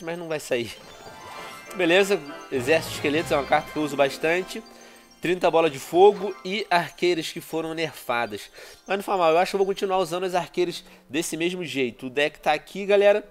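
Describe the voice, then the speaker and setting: A man in his thirties with a Brazilian Portuguese accent, stuffy from a cold, chatting to viewers in casual game commentary over a headset at home. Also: on the high side. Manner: chatty, addresses viewers constantly, excitable outbursts. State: congested with a cold. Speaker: gaming YouTuber. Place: small room at home, indoors.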